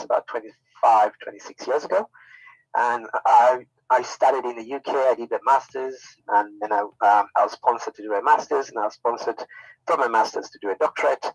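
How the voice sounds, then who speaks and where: A man's voice: medium pitch, no echo, poor audio. A middle-aged man from Zambia giving an unscripted talk as a university department head, friendly and informal, with many fillers and restarts. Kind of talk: unscripted presentation.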